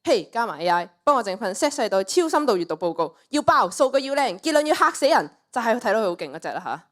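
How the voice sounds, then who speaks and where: A woman's voice: a little high, sing-song, quick pace. A teenage girl with a Cantonese accent playing a brash, flippant young woman in a radio play, scoffing and cocky. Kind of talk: student radio play acting.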